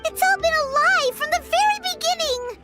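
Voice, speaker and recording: Tiny squeaky feisty companion. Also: female voice, moderate pace, bright, forceful tone. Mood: indignant. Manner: squeaky, exclamatory. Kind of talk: video game voice acting. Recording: music clearly behind dialogue.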